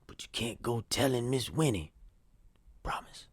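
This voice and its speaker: Weary, kindly male piano man played by a man in period audio drama: husky, plain-spoken, animated pitch, dry close sound. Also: a little slow, dry recording. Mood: gentle, confiding. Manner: folksy, ungrammatical, plain-spoken.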